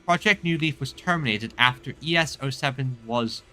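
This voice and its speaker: A man commentating on a video game as a streamer, reading dialogue aloud and reacting in an animated way. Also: medium pitch, moderate pace, soft background music.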